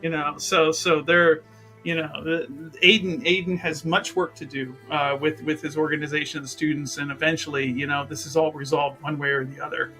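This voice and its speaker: A man playing a tabletop roleplaying game, improvising story narration in a halting, rambling way and often repeating words. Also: fairly high pitch, little pitch movement, bright, forceful tone, soft background music, poor audio.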